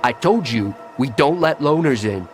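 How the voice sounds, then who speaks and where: A man playing a crude, confrontational gang doorman in a video game, gruffly guarding his bar. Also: mid-range voice, expressive, moderate pace, faint music behind, lightly acted. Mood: hostile.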